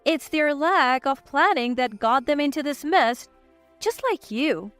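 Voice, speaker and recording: Woman playing a sharp-tongued, high-voiced defiant wife. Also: animated, unhurried, dry recording, faint music behind. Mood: exasperated. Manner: sarcastic, firm.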